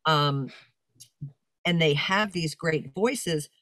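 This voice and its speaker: An older woman, a voice-over announcer and coach, talking casually in a video-call interview with a deep, commanding voice and a big, projecting manner. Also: extremely slow, fairly bright tone, no echo. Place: home room with fur-draped chair.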